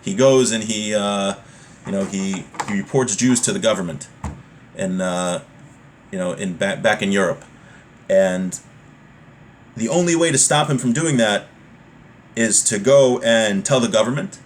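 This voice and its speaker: A man's voice: fairly low pitch, a little slow, pauses often, slight background noise. A man giving an informal religious law lesson, reading from a text and explaining it conversationally with repeated points and everyday examples.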